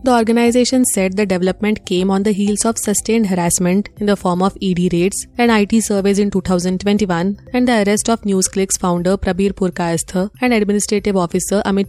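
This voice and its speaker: A female news presenter with an Indian accent delivers a scripted bulletin. Her voice sits at a medium pitch, and her delivery is formal and measured, with music playing underneath. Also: warm tone.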